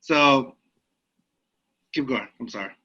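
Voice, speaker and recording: Bright-voiced joking podcast guy. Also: medium pitch, slow, slightly rough, highs cut off. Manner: joking, interrupting. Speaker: podcast co-host. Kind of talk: casual podcast chat.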